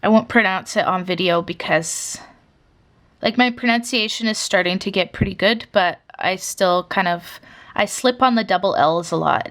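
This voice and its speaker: A woman's voice: lowish voice, fairly bright tone. Chatty young woman rambling over a sketchbook. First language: English. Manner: rambling, chatty, many fillers. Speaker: artist showing her sketchbook. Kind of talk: casual sketchbook flip-through chat.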